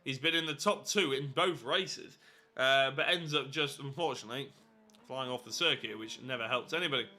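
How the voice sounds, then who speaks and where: A man's voice: mid-range voice, normal speed, bright, forceful tone, a little hiss or hum. A young man giving live sim racing commentary, congested and nasal from hay fever, chatty and often correcting himself. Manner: chatty, self-correcting, apologetic asides.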